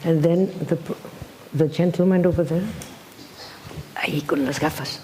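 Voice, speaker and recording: Brisk moderator with a mellow voice. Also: medium pitch, fairly even intonation, a little hiss or hum. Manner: brisk, switches between languages. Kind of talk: moderating audience questions.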